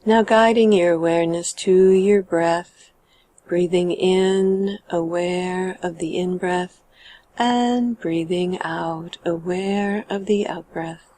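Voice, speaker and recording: A woman guiding a meditation in a calm, measured and gentle manner, letting her sentence endings trail off. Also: lowish voice. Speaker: meditation guide. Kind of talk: guided meditation narration.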